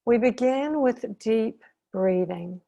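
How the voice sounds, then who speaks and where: Slow-paced woman guiding a meditation. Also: a little high, expressive, gravelly, dull audio. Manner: soothing, gentle, with long pauses between short phrases. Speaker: guided meditation teacher and intuitive therapist. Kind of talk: guided meditation, slow calming instruction.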